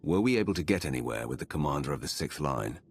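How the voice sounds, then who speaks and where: Male Sith lord, extremely low pitch, gravelly fry, terse, authoritative, stern but supportive, video game drama. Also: expressive, fast talker, soft background music, exaggerated voice. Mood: measured.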